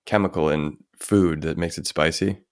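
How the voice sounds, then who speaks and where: A man's voice: a bass voice, fairly even intonation, moderate pace, no room sound. A man co-hosting a casual podcast on a home mic, telling easygoing stories and dropping dry quips. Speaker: podcast co-host. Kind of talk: casual chat.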